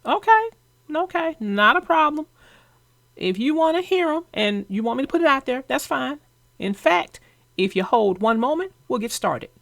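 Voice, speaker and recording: A woman's voice: high-pitched, very animated, moderate pace, vocal fry, a bit bright, no echo. A woman host who narrates children's books, chatting casually and expressively. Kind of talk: casual chat about a children's book.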